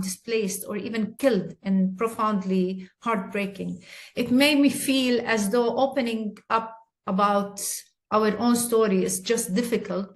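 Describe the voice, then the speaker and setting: A middle-aged woman with a Palestinian Arabic accent, speaking haltingly with many fillers as a guest in a webinar. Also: mid-range voice. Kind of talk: webinar testimony.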